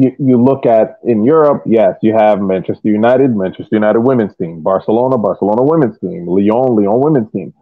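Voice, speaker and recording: A man's voice: on the low side, dry recording. American man on a tinny, phone-like line. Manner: halting, repeats words as he reasons. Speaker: soccer podcast panelist and youth coach. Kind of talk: casual sports podcast discussion.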